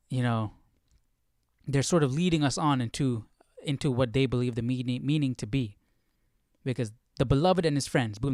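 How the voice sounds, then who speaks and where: A man's voice: mid-range voice, a little mellow, dry recording, studio quality. A man hosting a Bible study stream, reading aloud and musing in an informal, questioning way. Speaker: host of Bible study livestream.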